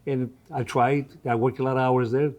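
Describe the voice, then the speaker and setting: A man's voice: medium pitch, flat delivery, normal speed, darkish tone. A man in his seventies from Greece, a native Greek speaker with a Greek accent and non-native grammar, talking conversationally in an interview as a restaurant owner and often repeating his phrases. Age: 70s.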